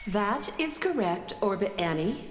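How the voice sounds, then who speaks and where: A woman playing a gentle, literal-minded starship android who explains things in measured phrases in a children's radio play. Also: mid-range voice, big pitch swings. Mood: calm, reassuring. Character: gentle, matter-of-fact female planet-powering starship android. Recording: echoey hall reverb, music behind.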